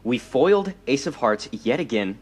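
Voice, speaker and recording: A man's voice: medium pitch, sing-song, moderate pace, husky. A man playing a defensive, loyal but awkward young superhero in an audio drama, hesitant in manner. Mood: relieved.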